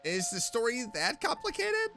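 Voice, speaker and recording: A woman streamer with a bright, sharp voice and very animated intonation. She reacts casually to a game, joking and mock-tough, over faint music. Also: on the high side, moderate pace. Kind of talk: casual game playthrough commentary.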